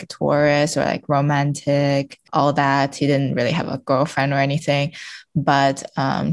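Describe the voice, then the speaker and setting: A woman in her twenties discussing books casually as a podcast guest, talking tentatively and informally as she searches for words. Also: deep voice. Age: 20s.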